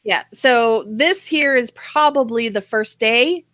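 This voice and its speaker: A woman's voice: fairly high pitch, takes it slowly, a bit bright, dry recording, clean studio sound. A woman host chatting casually about politics in a blunt, sarcastic, mildly profane way. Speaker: talk show host.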